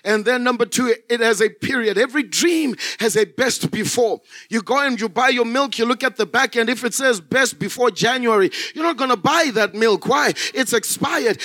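A man from Zimbabwe, a pastor preaching a fervent sermon in a church, building up to shouts. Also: extremely high pitch, no echo.

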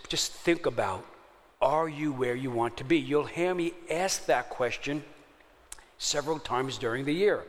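A man's voice: mid-range voice, in a large hall. A man, preaching a sermon in a chatty way and often checking in with his listeners. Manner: conversational, checks in with the audience often. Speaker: pastor.

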